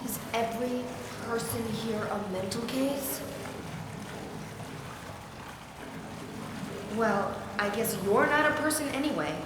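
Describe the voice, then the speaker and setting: A woman's voice: fairly high pitch, moderate pace, heavy reverb, in a large hall, noisy background, lightly acted. A woman playing a sardonic, defiant young heroine in a horror game cutscene, blunt and sarcastic. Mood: exasperated. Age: young adult. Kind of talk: video game cutscene acting.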